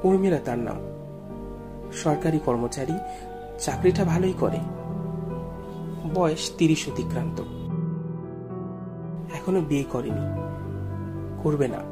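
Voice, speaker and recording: A man narrating a first-person ghost story in a brooding, reflective, measured way. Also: fairly high pitch, expressive, over loud music.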